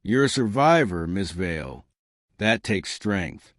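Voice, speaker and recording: A man voicing a shrewd, modest elderly lady sleuth in a storybook mystery, with a gentle, knowing manner. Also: fairly low pitch, animated, takes it slowly, no echo, clean studio sound. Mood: kindly.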